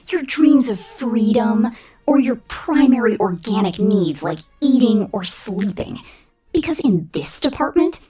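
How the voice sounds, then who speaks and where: A woman's voice: on the high side, warm tone, heavily acted. A woman voicing a domineering, sadistic female corporate killer robot in a menacing, half-whispered ASMR roleplay monologue. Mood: haughty, possessive. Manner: menacing, contemptuous, commanding, breathy half-whispered delivery.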